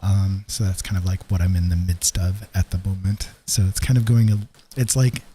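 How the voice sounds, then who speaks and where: A man's voice: sits low, little pitch movement, moderate pace, dark tone, a little hiss or hum. A man hosting a livestream, rambling casually and hesitantly as he thinks aloud while painting. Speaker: livestream host and digital painter.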